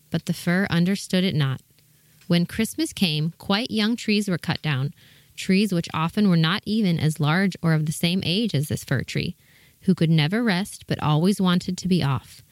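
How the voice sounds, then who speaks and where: Low-voiced woman narrating a fairy tale. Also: normal speed, a little mellow, no room sound. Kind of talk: scripted storybook narration.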